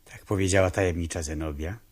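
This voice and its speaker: An actor playing a reflective, wry amateur detective in a 1970s radio play, musing and telling the story in measured phrases. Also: male voice, low voice, fairly even intonation. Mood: wry narrating. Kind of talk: 1970s radio play acting with first-person narration.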